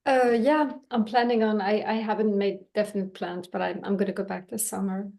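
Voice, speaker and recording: A woman's voice: medium pitch, fairly quick, gravelly. Chatty woman from Finland, native Swedish speaker. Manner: animated, chatty, laughs often. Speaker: language teacher. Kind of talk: casual chat on a video call.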